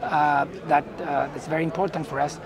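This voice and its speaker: Higher-voiced man with a Chilean Spanish accent. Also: little pitch movement, brisk. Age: middle-aged. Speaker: company chief executive being interviewed. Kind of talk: unscripted business interview. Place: indoor booth at a trade conference. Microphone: lapel mic. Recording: busy event hall chatter behind.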